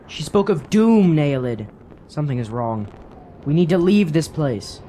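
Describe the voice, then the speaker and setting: An actor playing a cautious, earnest traveler in a fantasy radio drama, speaking formally and gravely. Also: male voice, on the high side, very animated, mellow, a little hiss or hum. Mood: urgent, worried. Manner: formal, grave, measured phrasing. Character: cautious, earnest male traveler wary of dark woods.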